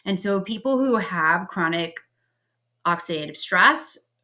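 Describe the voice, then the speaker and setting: A woman's voice: fairly low pitch, lively intonation, unhurried, pushed and bright. A woman from Pennsylvania giving a casual, conversational lecture on health science over slides, with frequent ums and likes. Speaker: health educator. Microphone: home recording.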